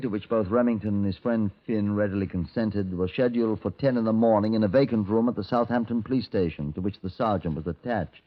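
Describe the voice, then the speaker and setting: An actor as a low-voiced police detective. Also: male voice, natural voice. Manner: formal, dry, commanding. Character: senior police detective, authoritative, dry, brisk superior. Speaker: detective narrating his case. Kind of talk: 1950s radio drama acting and scripted narration. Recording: dull, lo-fi 1950s radio recording.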